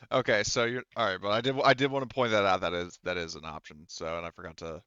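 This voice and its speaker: A man's voice: lowish voice, fairly even intonation, brisk, sharp tone, smooth, no room sound, lo-fi sound. A man casually improvising as game master of a tabletop roleplaying game.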